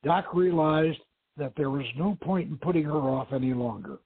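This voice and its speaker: A man narrates an adventure novel as a dramatic reading. His voice is fairly high and a little husky, carried on a narrow, telephone-like line. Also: moderate pace. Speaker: host and narrator. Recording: phone-like thin line, echoey.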